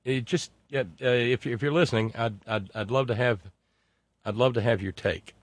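A male host talks in a loose, rambling way, stopping and restarting his sentences as he goes. His pitch sits in an ordinary middle range. The sound is close and completely dry, with no room around it. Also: dry recording. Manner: rambling, halting with many fillers and restarts. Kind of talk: casual livestream talk.

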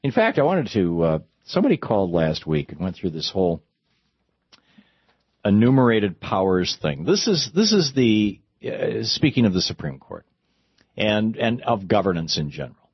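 A man's voice: on the low side, very animated, moderate pace, slightly rough, no room sound, dull audio. A man hosting a call-in radio show, talking through legal and political points with many restarts. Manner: discursive, argumentative, stops and restarts mid-sentence. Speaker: radio talk show host.

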